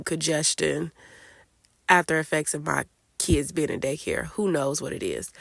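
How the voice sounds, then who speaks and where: Congested woman podcast host, gravelly. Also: fairly low pitch, fairly bright tone, dry recording, studio quality. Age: late 20s. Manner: chatty and candid, many fillers like "like" and "I don't know". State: congested, nasal from a cold. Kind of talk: casual solo chat.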